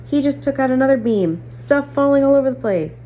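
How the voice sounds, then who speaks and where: A woman's voice: high-pitched, animated, a little fast, warm tone, pitched above the performer's own voice. A woman voicing an alarmed teenage girl miner calling urgently to her team in a storybook reading. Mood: panicked. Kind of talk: acted dialogue in a storybook reading. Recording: muffled audio, clear background hum.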